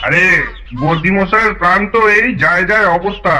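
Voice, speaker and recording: Nosy village uncle in a fairy tale, real sharp high voice, breezy and sly. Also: male voice, fairly quick. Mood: chatty, sly. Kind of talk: animated fairy-tale voice acting.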